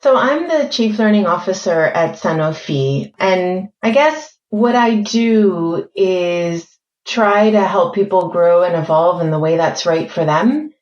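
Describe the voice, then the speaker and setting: A woman born in France and raised mainly in Spain, with a mixed accent shaped by American and British English, speaking as a guest in a podcast interview in a measured, articulate way. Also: on the low side, a little mellow, echoey room, dull audio. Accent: mixed French, Spanish, American and British. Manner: measured, articulate, thinks aloud with fillers. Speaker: corporate learning executive, guest.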